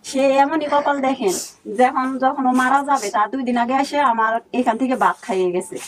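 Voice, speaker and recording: A woman's voice: high-pitched, steady tone, normal speed. A woman with a Bangladeshi accent telling a personal story as a guest. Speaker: guest, relative of another guest.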